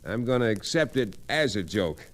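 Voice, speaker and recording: A man acting a steady, reasonable western hero in an old-time radio drama, earnest and folksy. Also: lowish voice, normal speed. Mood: urgent reasoning. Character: steady, reasonable male western hero who narrates his tale.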